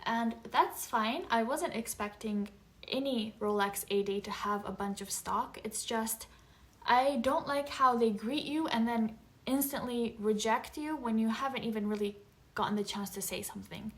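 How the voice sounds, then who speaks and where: A woman from Japan, a native Japanese speaker, talking in a somewhat high voice. She chats casually to camera and leans on filler words as she goes. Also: moderate pace, a bit bright. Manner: chatty, conversational, frequent filler words. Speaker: vlogger. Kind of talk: casual unboxing chat.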